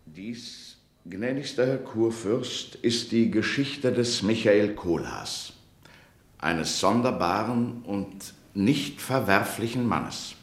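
A frank dignified court adviser in a radio play, slow formal speech, echoey room. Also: male voice, on the low side, expressive. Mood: grave, candid. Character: dignified, frank male court official advising his prince. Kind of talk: German radio play acting.

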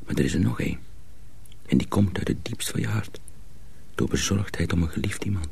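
Gravelly, low-voiced earnest mill hand. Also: male voice, animated, fairly quick, noisy background, heavily acted. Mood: earnest, confiding. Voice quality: raspy, gravelly. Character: earnest, knowing young mill hand apprentice in magic. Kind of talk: radio drama acting.

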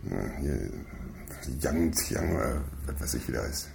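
An actor playing an evasive, mocking biker gang boss in a German radio crime drama, laconic and sardonic, with a gravelly voice. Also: male voice, extremely low pitch, expressive, measured pace, darkish tone, a little hiss or hum, heavily acted. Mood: sly, needling.

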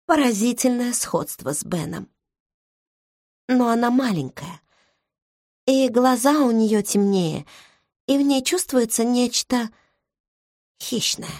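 A woman narrates a first-person novel in a measured, intimate way, as if thinking aloud. Her pace is unhurried and her voice sits somewhat high. The studio sound is close and dead dry. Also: expressive, darkish tone, dry recording. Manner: measured, intimate inner monologue. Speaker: audiobook narrator. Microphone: close studio mic.

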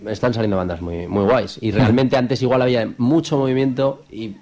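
A man's voice: medium pitch, fairly quick, darkish tone. A man with an accent from Spain, a band singer being interviewed, chatting casually in colloquial, slangy, repetitive phrases. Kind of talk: casual chat. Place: radio studio.

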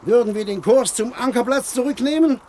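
Grumbly pirate ship's mate, radio drama, high pitched, blunt, bit of background noise. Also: male voice, fairly quick, a little mellow. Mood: grumbling. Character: grumbling, cautious old sea dog, pirate ship's mate. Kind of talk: German radio play acting.

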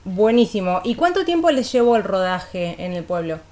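A woman's voice: mid-range voice, sing-song, moderate pace, darkish tone. A woman with an Argentine accent interviews casually for radio, feeling her way through informal questions.

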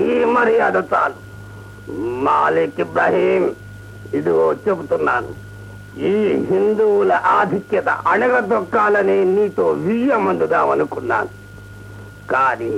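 An actor in a radio play playing a proud, short-tempered old Persian emperor who declaims with indignant pauses. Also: male voice, on the high side, animated, gravelly, a bit bright, lo-fi sound, slight background noise, full character voice. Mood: outraged. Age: older. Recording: radio drama with music.

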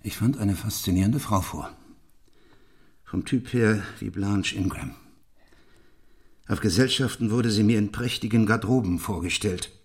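An actor in a German radio play playing a bitter, brooding middle-aged English gentleman who confesses his past in an intense, deliberate way. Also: lowish voice. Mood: bitter, agitated. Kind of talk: German radio play acting, dramatic monologue.

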